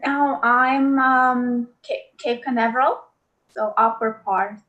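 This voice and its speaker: Woman from Romania, bright tense voice, halting answers, video call. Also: fairly high pitch, fairly even intonation, dull audio. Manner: hesitant, halting replies. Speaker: class participant. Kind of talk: casual chat.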